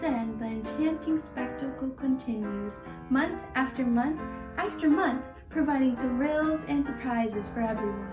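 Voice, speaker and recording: A woman announcer giving an excited sales read in an old-time radio advert style. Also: high-pitched, a little slow. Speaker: commercial announcer. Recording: echoey room, distant mic. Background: instrumental music.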